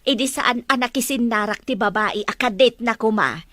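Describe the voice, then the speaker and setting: A woman acting an emphatic, high-voiced radio drama mother. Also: normal speed, fairly bright tone, dry recording, clean studio sound, lightly acted. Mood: indignant. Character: older mother scolding and confiding in her grown son.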